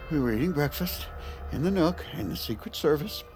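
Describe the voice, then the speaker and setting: An actor playing a whimpering, panicky Vice President in a comedic audio drama, anxious, halting and overwrought. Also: male voice, a little high, sing-song, music in the background. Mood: whimpering, distraught.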